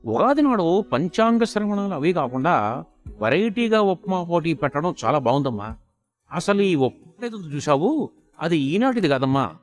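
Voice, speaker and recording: A man's voice: a little high, sing-song, normal speed, soft background music, voice pushed up. A man voicing an earnest, talkative wedding priest caller who drawls in an avuncular, pompous way. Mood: pompous, pleased.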